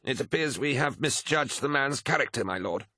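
A man as a formal adviser, pitched up. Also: expressive, bright, forceful tone, dry recording, clean studio sound. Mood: cautious. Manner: measured, obsequious, formal. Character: anxious-to-please male military adviser, formal and deferential.

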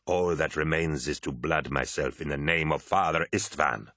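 A man voicing a disdainful, fanatical warrior prophet in an audiobook, with a harsh rasp and a grave, zealous, declamatory delivery. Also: rumbling low, moderate pace, pushed and bright, no room sound, studio quality, full character voice. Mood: resolved.

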